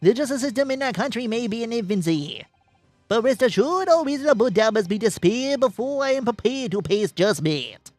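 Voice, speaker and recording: A streamer voicing formal, earnest courtroom gentlemen from a video game, with stilted courtesy. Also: mid-range voice, lively intonation, brisk, no room sound, faint music behind, pitched above the performer's own voice, lightly acted. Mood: formal, grave. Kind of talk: dramatic read of a video game script.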